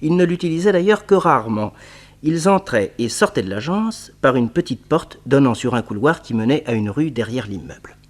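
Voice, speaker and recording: An actor playing the narrator of a French radio detective serial, telling it in a dramatic scripted style. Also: male voice, mid-range voice, lively intonation, moderate pace, lightly acted. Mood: explanatory. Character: vivid storytelling male narrator of a detective serial.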